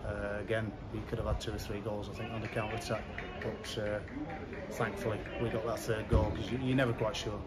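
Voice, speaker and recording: A middle-aged football manager giving a measured, halting post-match interview outdoors in a covered stand, with many restarts. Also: male voice, on the low side, little pitch movement, rough recording.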